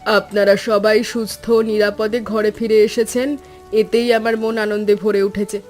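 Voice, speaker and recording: Woman playing a gentle, caring village mother. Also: mid-range voice, little pitch movement, unhurried, soft background music, close to an everyday voice. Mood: grateful, caring. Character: caring, humble village mother and sister-in-law. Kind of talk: animated cartoon story acting.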